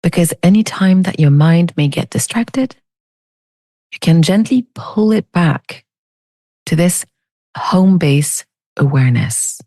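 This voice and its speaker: A woman leading a guided meditation as a teacher, speaking in a soothing, unhurried, gently inviting way. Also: on the low side, expressive, no echo.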